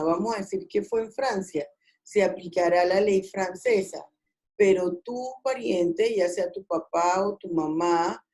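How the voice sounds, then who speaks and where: A woman's voice: on the low side, dark tone, rough recording. A woman, a law professor leading an online class discussion in a didactic way, often questioning students. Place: video call.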